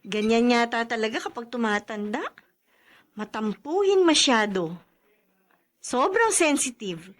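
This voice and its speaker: An actress playing a patient, weary young wife in a radio drama. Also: female voice, on the high side, animated, a little slow, long pauses. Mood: weary, resigned.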